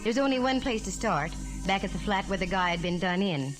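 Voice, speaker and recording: A woman's voice: medium pitch, lively intonation, a little fast, close to an everyday voice, rough recording. Wisecracking female gumshoe played by an actress, American, breezy and slangy, vintage radio crime drama with music underneath. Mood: wry narration. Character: wisecracking, plucky young female private eye. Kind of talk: 1940s radio detective acting and narration. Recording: vintage 1940s radio recording, music behind.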